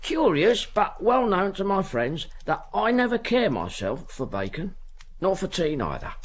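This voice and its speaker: A man's voice: sits high, very animated, a little slow, heavily acted. An actor playing a kindly, poor old ticket porter in a radio drama, stammering and repeating himself as he fusses. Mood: cheerful, fussing. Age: older.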